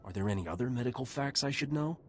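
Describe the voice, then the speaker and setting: A man's voice: medium pitch, lively intonation, fast, slight background noise, natural voice. An actor playing a terse, tough male mercenary hero in a video game. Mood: cool, resolute.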